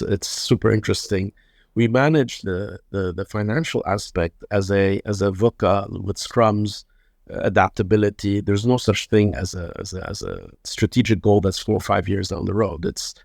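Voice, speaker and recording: A man in his fifties from Lebanon, a guest telling his story in an interview, unhurried and often repeating words as he searches for the next phrase. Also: on the low side, creaky, darkish tone, no echo, studio quality. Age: 50s. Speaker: guest, hospital chairman.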